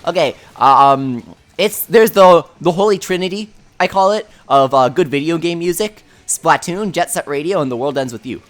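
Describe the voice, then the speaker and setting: A man hosting a game livestream with a high voice, answering viewer questions in a chatty, offhand way full of fillers. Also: normal speed, hardly any pauses. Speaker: livestream host. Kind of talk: casual livestream chat, reading viewer questions.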